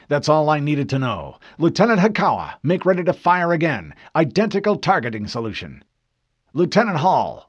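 A man's voice: a little high, no echo, lo-fi sound, pitched above the performer's own voice. A man voicing a resolute male starship captain who barks clipped military orders in a sci-fi audiobook. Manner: forceful, clipped military command.

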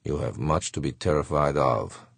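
A man voicing a cold, calculating, menacing killer in a measured way, for a space adventure audiobook. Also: rumbling low, fairly even intonation, gravelly, husky, no echo, full character voice. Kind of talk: audiobook character thoughts.

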